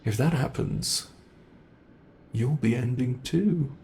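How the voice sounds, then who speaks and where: A man's voice: medium pitch, takes it slowly, creaky, mellow, slight background noise. A man voicing a centuries-old predatory monster in an ASMR roleplay, theatrical and taunting, savoring each phrase with haughty, possessive menace. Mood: gleefully taunting.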